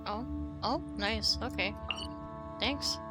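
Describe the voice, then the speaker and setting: A woman's voice: mid-range voice, slow. A woman game streamer reacting casually over gameplay, with slangy, muttered asides. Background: game music.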